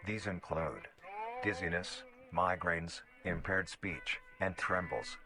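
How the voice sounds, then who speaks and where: A man's voice: low-pitched, slow pace, creaky. A man reading scripted documentary-style narration in a formal, detached, instructional manner. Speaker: narrator of an analog horror video. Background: music.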